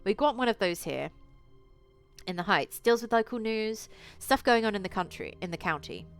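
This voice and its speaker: A woman's voice: mid-range voice, expressive, normal speed, close to an everyday voice. A woman voicing a folksy, gossipy woman townsperson in a visual novel, chatty and plain-spoken. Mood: helpful. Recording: music behind the voice.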